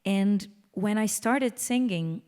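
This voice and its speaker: A woman's voice: mid-range voice, slow pace, dark tone. A woman from Brazil with a Brazilian Portuguese accent, speaking English as a guest musician in an onstage interview, explaining her ideas thoughtfully with small restarts.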